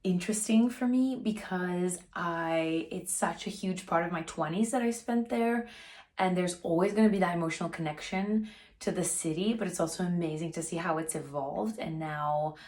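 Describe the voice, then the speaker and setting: A woman in her thirties with a slightly raspy voice, chatting casually to camera as a vlogger, with frequent "like" fillers. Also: lowish voice, moderate pace, a little mellow.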